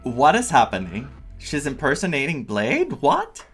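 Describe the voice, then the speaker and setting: A woman's voice: extremely low pitch, huge pitch swings, a little slow, husky, faint music behind. A woman giving casual, informal reaction commentary as a game streamer.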